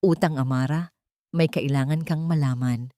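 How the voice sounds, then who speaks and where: A woman's voice: low voice, normal speed, warm tone, no echo, natural voice. A woman voicing an earnest young male lawyer in an audio story, urgent and resolute as he protects a childhood friend. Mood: concerned.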